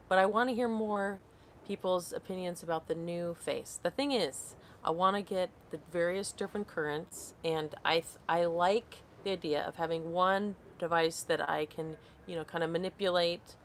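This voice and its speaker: Woman, late thirties, lower pitch, live-stream host reading comments, casual and scattered. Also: moderate pace, slight background noise. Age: 30s. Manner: rambling, reads comments aloud and loses her train of thought. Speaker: skincare vlogger hosting a live stream.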